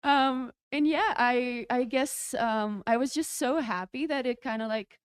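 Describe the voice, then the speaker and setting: A woman's voice: on the high side, a little slow, smooth, dry recording, clean studio sound. Giggly hesitant woman from the Czech Republic. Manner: giggly, hesitant, many fillers. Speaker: race champion guest. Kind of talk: interview answers. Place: race broadcast studio.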